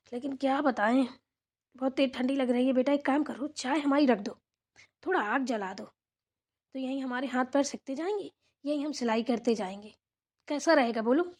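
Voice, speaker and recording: A woman's voice: sits high, darkish tone. A woman voicing an older village mother-in-law in a family cartoon, bossy and chatty, instructing in a homely, rambling way. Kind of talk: amateur Hindi family cartoon dialogue.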